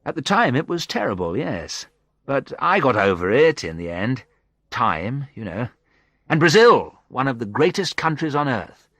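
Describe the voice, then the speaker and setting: An easygoing, agreeable British expat acted by a male narrator in a storybook. He speaks reflectively, with lively rises and falls and a dry, close sound. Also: mid-range voice, moderate pace, no room sound, voice pushed up. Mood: wistful, reflective. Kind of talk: audiobook character dialogue.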